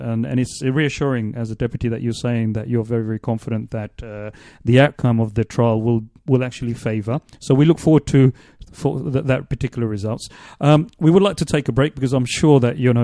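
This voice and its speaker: A man hosting a radio interview in a studio, asking long, halting questions full of restarts. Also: mid-range voice, warm tone, no room sound. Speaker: radio show host.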